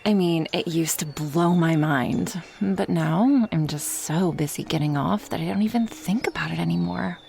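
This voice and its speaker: Woman playing a languid, creaky-voiced party-girl hedonist. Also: deep voice, darkish tone, a little hiss or hum. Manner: casual valley-girl slang, languid and teasing. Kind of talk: audio drama character acting.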